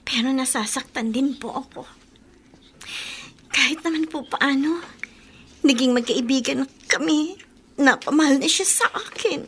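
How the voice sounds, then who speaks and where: A woman's voice: high voice, measured pace, long pauses, fairly bright tone, a little hiss or hum. A woman playing an earnest, feisty young Filipino woman in a radio drama, speaking emotionally and emphatically. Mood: hurt. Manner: emotional, emphatic, mixes in English phrases.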